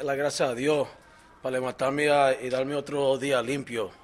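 A middle-aged Dominican-American man from New York giving an earnest, halting personal testimony at a recovery meeting, switching between Spanish and English fillers. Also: mid-range voice, a bit bright. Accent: Dominican-American, mixing English into Spanish. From New York, Dominican family. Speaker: speaker sharing at a recovery meeting.

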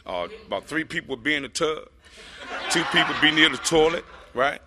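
A man with a deep voice telling his personal recovery story to a group, rambling with lots of fillers. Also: animated, brisk, very noisy. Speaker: speaker sharing a recovery story.

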